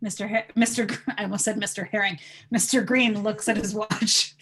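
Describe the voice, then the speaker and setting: A woman narrating stage directions from a script at an informal table read, with a husky voice. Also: medium pitch, fairly even intonation, fairly quick, fairly bright tone.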